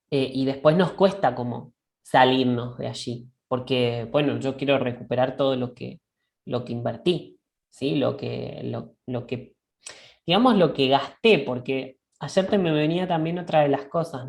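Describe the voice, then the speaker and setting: A woman co-hosting a reflective discussion. She thinks aloud in a halting way and keeps checking in with a questioning "right?". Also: rumbling low, darkish tone. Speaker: discussion co-host. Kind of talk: reflective conversational dialogue.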